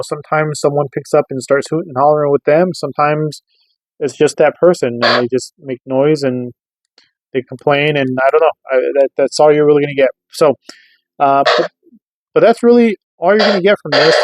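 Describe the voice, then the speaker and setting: A man's voice: a little high, no room sound, low-quality recording. Casual man reviewing gear, dry close sound. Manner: informal, loosely explanatory, rhetorical check-ins. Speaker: host of a radio gear review channel. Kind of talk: casual hands-on product review.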